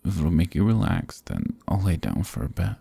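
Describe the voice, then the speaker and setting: Man acting a deep, gravelly, drowsy sick boyfriend. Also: sing-song, normal speed, dry recording, heavily acted. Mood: reluctant, reassuring. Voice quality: husky, gravelly, breathy. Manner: soft, drowsy, murmuring to a partner. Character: sick young boyfriend, stubborn, affectionate, sleepy. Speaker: ASMR roleplay voice actor. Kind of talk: ASMR boyfriend roleplay, intimate close-up acting. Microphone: close, dry microphone.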